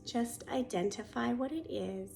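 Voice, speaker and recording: Female meditation guide: soft, soothing delivery, very unhurried, with noticeable fry; some ambient noise. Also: on the high side. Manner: soothing, unhurried, gently instructive. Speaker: yoga and meditation teacher.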